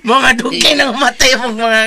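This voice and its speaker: A man's voice: very high-pitched, steady tone, normal speed, a loud crowd. A man with a Cebuano Filipino accent playing a boastful drinking buddy spinning tall tales in a comedy skit. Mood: insistent.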